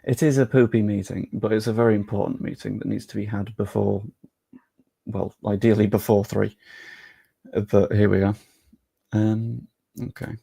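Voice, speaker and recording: A man's voice: on the low side, level tone, normal speed, gravelly, dark tone, husky, no echo. A young man live-streaming, chatting casually with his viewers and thinking aloud as he works on screen.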